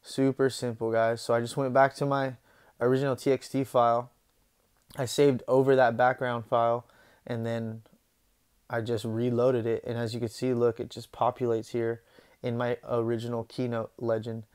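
A young man hosting a software tutorial, talking casually over his screen recording and often saying "boom" and "guys". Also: normal speed, clean tone. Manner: relaxed, conversational, says "boom" and "guys" often.

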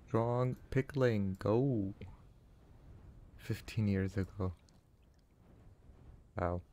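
A man from Canada, English not his first language, talks in a loose, chatty way to his stream audience. His voice sits a little low, and he reads out chat, muses aloud and laughs easily. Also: drawn-out words. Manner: relaxed, chatty, answers chat and laughs often. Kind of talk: casual live-stream chat while gaming.

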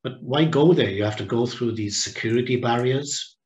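Older guy talking on a video call from home, reflective, lots of pauses, echoey room. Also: medium pitch, darkish tone, lo-fi sound. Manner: reflective, with many pauses and restarts. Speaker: guest speaker. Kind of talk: online seminar talk. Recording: roomy echo on a video call.

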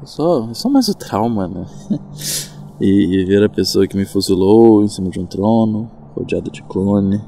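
A man playing a nervous, gentle, traumatized friend in an audio drama, hesitant and stammering. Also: lowish voice, expressive, a little slow, creaky, a little hiss or hum. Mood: shaken.

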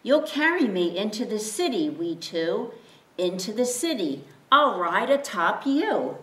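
A woman voicing a gentle, wise bearded man who speaks softly, calmly and tenderly. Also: medium pitch, measured pace. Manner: soft, calm and tender. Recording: roomy, distant mic.

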